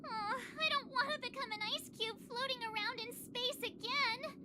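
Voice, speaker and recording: Perky young adventurer girl; very high, playful and whiny. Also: moderate pace. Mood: worried whiny. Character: cheerful bubbly young woman adventurer. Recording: game audio with music.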